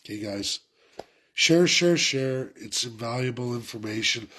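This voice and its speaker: Man, congested, head cold, raspy, urgent vlog rant, repeats himself. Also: medium pitch, expressive, unhurried, sharp tone. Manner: urgent, repetitive, exhorting. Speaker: political commentator. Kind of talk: impassioned political rant to camera.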